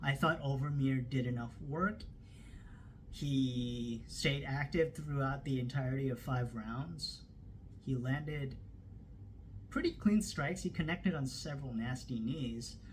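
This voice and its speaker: A man hosting his own combat sports podcast talks slowly and without hurry, recapping fights off the cuff with frequent fillers and restarts. His voice sits at an ordinary middle pitch. A faint hiss runs under the recording. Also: rough recording. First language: not Turkish. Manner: casual recap with fillers and self-corrections. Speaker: podcast host. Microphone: phone camera.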